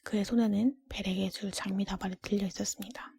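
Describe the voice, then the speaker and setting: Whispering woman reading a storybook, very breathy. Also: medium pitch, steady tone, quick pace, no echo. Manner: gentle, hushed storytelling. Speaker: storybook reader. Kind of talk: whispered ASMR fairy-tale reading.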